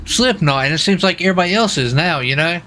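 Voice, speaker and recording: A man's voice: on the high side, expressive, fast, bright, forceful tone, faint music behind. A man livestreaming a game, chatting casually in a rambling, repetitive way. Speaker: livestreamer and role-play gamer. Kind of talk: casual chat while streaming a game. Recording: faint background noise.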